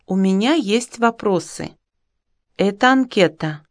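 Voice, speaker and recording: Friendly student Luda, slowly. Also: female voice, mid-range voice, dry recording, clean studio sound. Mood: plain. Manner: clearly enunciated, even. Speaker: dialogue reader in a language course. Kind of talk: slow, clear textbook dialogue reading.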